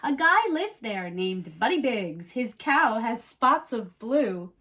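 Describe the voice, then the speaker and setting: A woman's voice: on the high side, sing-song, takes it slowly, bright, forceful tone. A woman hosting a children's show, reading a picture book aloud expressively and explaining things simply. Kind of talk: expressive read-aloud storytelling with playful chat.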